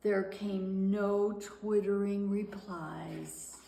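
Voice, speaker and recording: A woman reading a children's picture book aloud with deliberate, expressive storytelling. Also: mid-range voice, creaky, background noise. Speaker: guest storyteller. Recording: roomy, echoing room sound.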